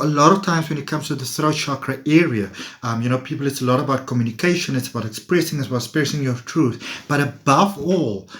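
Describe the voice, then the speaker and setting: A man giving a playful, chatty tarot reading, laughing often and talking to viewers. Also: medium pitch. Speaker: tarot reader. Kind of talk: casual tarot reading monologue.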